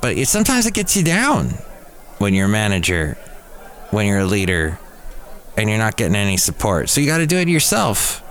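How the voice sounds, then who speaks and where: A man's voice: fairly low pitch, huge pitch swings, brisk, a bit bright, a little hiss or hum. A man hosting a solo podcast in a rambling, digressive way, with playful puns. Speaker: podcast host.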